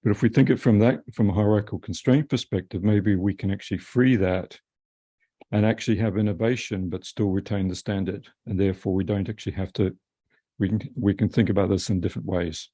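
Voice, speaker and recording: A man's voice: on the low side, fairly even intonation, brisk, mellow, breathy edge, no room sound. A man speaking as a panelist on a video call, thinking aloud in a rambling, hesitant way full of fillers. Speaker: panelist, systems architect.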